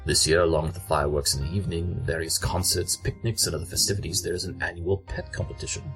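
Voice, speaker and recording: A man's voice: sits low, fairly even intonation, quick pace, a bit bright, soft background music. A man playing a brilliant, tactless pony detective in an audio drama, clipped and pompous. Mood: brisk, confident.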